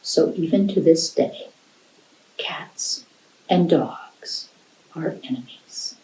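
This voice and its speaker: An American woman telling a folktale to children in an animated storyteller's style. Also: deep voice, slow, in a whisper. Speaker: library storyteller. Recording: roomy, echoing indoor sound.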